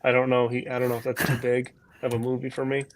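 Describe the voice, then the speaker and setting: Hesitant male guest, mid-pitched. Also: completely flat delivery, fast talker, a little hiss or hum. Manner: hesitant, full of ums and restarts. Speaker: guest comic creator. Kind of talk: casual interview chat.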